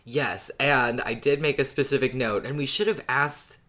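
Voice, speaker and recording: A podcast co-host talking casually and informally in a relaxed discussion. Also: medium pitch, normal speed.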